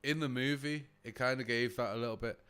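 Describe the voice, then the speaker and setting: A man with a boomy voice, chatting casually and profanely on a live stream, laughing often, close to his desk mic. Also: fairly even intonation, brisk, a bit bright, clean tone. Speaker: livestreamer reacting to videos. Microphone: desk mic close to face.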